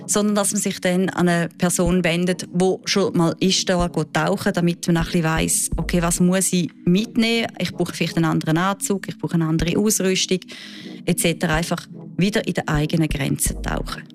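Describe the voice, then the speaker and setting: A woman with a Swiss German accent, a diving instructor who answers interview questions in a lively, chatty way with frequent fillers. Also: on the low side, normal speed. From Switzerland.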